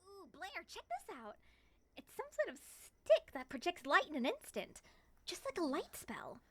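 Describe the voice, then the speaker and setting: A woman's voice: extremely high pitch, moderate pace, exaggerated voice. A woman playing a playful, flirtatious moth monster woman with a teasing manner in an ASMR roleplay. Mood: excited. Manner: teasing, sing-song endearments and excited exclamations.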